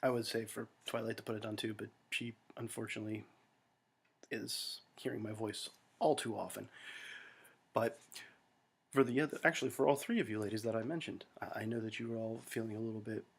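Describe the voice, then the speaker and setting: American man, low gravelly voice, husky, rambling casual vlog. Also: steady tone, a little fast. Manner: rambling, self-deprecating, with many fillers. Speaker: vlogger.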